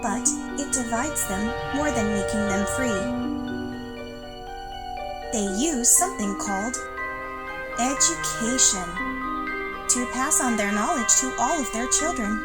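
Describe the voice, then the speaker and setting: A woman playing an earnest, dismayed alien underling in a satirical sci-fi audio play, with a deliberate, dramatic delivery. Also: on the high side, lively intonation. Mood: dismayed, grave. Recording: loud music behind the voice.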